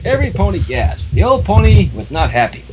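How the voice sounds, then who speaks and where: A man's voice: high-pitched, huge pitch swings, a little fast, highs cut off. A man informally reading a fan story aloud on a livestream, adding his own offhand comments. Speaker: livestream fanfiction reader. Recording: noisy home livestream microphone.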